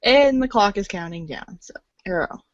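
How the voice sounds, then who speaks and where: A woman's voice: mid-range voice, expressive, normal speed, no room sound, muffled recording. A woman reading scripted second-person game narration in the manner of a read-along storybook.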